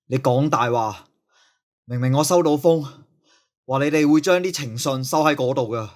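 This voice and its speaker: A man's voice: mid-range voice, moderate pace, a little mellow, close to an everyday voice. A man playing a strict, suspicious school discipline master in an audio drama, scolding and interrogating. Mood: indignant, commanding. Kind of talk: Cantonese radio drama acting.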